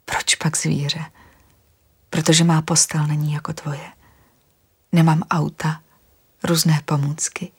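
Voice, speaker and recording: A woman reading aloud, voicing a calm young woman of the forest who speaks in soft, soothing whispers. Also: on the low side, breathy edge.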